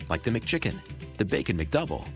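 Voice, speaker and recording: A man reading a playful, scripted fast-food radio commercial. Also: lowish voice, very animated, gravelly. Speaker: ad announcer. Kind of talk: upbeat commercial read. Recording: music bed behind the voice.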